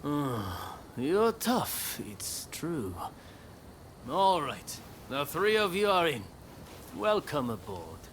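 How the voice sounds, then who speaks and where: Animated, authoritative samurai leader. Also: male voice, fairly high pitch, drawn-out words, a little hiss or hum, heavily acted. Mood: assured. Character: shrewd, assured samurai militia leader. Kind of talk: video game cutscene acting.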